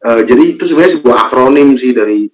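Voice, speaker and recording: A man's voice: medium pitch, fast talker, low-quality recording. Chatty young man from Central Java. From Purwokerto area, Central Java. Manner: relaxed, chatty, many fillers and repeated agreement. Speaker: guest, YouTube content creator. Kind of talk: casual interview talk.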